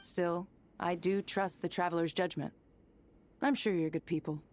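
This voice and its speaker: A woman's voice: fairly low pitch, fairly even intonation, moderate pace, a little mellow, a little hiss or hum, natural voice. A woman playing a confident young desert fighter in a video game, frank, friendly and casual. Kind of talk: English video game voice acting.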